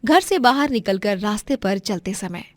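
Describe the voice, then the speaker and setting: A woman's voice: medium pitch, expressive, normal speed, dry recording, clean studio sound. A woman narrating a scripted moral story as its storyteller.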